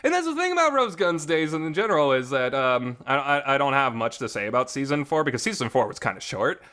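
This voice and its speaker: A man's voice: mid-range voice, very animated, fairly quick, pushed and bright. A man giving a casual, unscripted review as host, talking emotionally and emphatically with some mild swearing. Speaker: host of a visual novel review show. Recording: reverberant amateur home recording.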